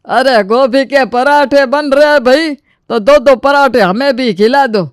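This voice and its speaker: A woman's voice: high voice, unhurried, smooth, no echo, clean studio sound, voice pushed up. A woman voicing a greedy, wheedling older neighbour uncle in a children's cartoon story.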